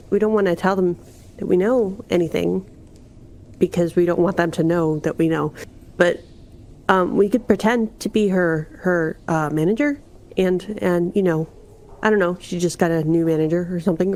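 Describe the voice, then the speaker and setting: Lower-pitched woman playing a tabletop game. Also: fairly even intonation, moderate pace, a little mellow. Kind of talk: casual improvised roleplay chat.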